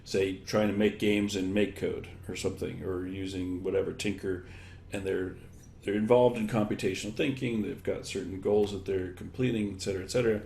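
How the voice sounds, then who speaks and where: A man giving thoughtful, conversational interview answers, thinking aloud in halting, loose chains of examples. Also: low voice, steady tone, a little mellow. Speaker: interviewed guest, education technology expert. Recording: echoey room, clear background noise.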